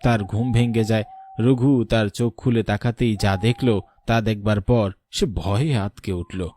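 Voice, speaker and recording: A man's voice: fairly low pitch, steady tone, measured pace, warm tone, a little hiss or hum. A man giving scripted narration for a horror story as its narrator.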